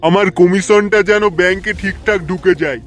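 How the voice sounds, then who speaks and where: High-pitched cartoon villain , male actor: greedy, sleazy child snatcher, gloating drawl, faint music underneath. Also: fairly even intonation, a little fast, a bit bright. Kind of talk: amateur comic cartoon dubbing.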